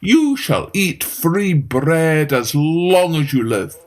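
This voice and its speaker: A woman voicing a blunt, commanding male farmer in a children's fairytale, with a gruff, drawn-out delivery. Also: deep voice, animated. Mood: grateful, commanding.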